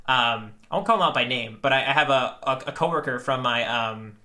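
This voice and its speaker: Man, bright forward tone, medium pitch, casual podcast talk, earnest, deliberate phrasing. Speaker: podcast co-host. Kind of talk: casual chat.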